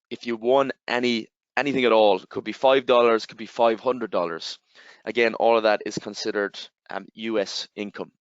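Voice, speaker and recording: A man with an Irish accent, giving a webinar presentation and explaining step by step, with steady fillers and repeated "again". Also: fairly low pitch, clear, resonant tone, no room sound. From Ireland. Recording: slightly dull, dry webinar audio.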